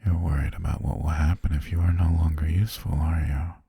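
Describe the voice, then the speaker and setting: A man playing a calm, possessive governor soothing his pet in an ASMR roleplay, breathy and half-whispered, slow, gentle and softly commanding, very close to the mic. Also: a bass voice, dry recording, exaggerated voice. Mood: reassuring, possessive. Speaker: ASMR voice actor. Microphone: very close, dry microphone.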